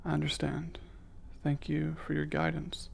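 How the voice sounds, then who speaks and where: Man playing curious reserved male alien, ASMR roleplay, unhurried, slightly high-pitched, soft breathy voice, formal precise phrasing. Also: monotone, exaggerated voice. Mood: puzzled, intimate. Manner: formal, precise, hesitant wording with measured delivery.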